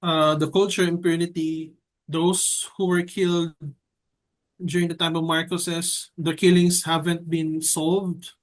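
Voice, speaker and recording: A young man with a Filipino accent and a fairly high voice speaks casually, as a guest in an interview. He is measured and hesitant, often pausing as he puts his thoughts together. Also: little pitch movement, rough recording. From Philippines. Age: young adult. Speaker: editorial cartoonist being interviewed.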